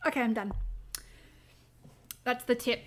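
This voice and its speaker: A woman's voice: a little high, fairly even intonation, unhurried, slight background noise. A woman, an amateur voice actor, chatting casually and a little hesitantly between takes.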